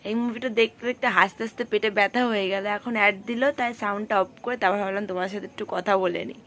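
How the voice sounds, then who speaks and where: A young woman, chatting casually in a daily vlog. Her voice is hoarse and husky from a cold, and she talks to viewers as friends. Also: steady tone, very fast, fairly bright tone, slight background noise. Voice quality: husky, deepened voice. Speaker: vlogger.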